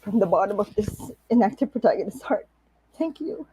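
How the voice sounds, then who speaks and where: A woman's voice: mid-range voice, lively intonation, fairly quick, dark tone, a little hiss or hum, poor audio. A woman from the Philippines reading a prepared acceptance speech as an award winner.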